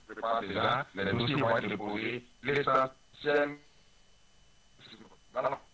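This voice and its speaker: A man's voice: medium pitch, a little slow, pushed and bright, low-quality recording. A man from Benin with a Beninese French accent, speaking as a politician in an interview, earnest and rhetorical, repeating phrases for weight. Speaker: ruling-party politician interviewed by phone. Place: telephone call.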